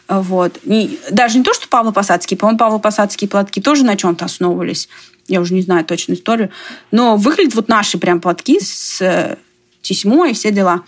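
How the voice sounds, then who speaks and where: A woman from Russia talking casually in a conversation, chatty and digressive, often laughing as she talks. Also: medium pitch.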